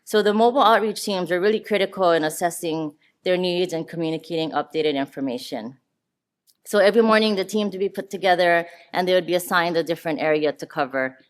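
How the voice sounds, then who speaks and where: A woman's voice: lowish voice, fast. A woman giving a conference talk on public health work, earnest and walking through her slides. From Hawaii. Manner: earnest, talks through slides, some restarts. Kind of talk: conference presentation. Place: conference room. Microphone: handheld or podium mic.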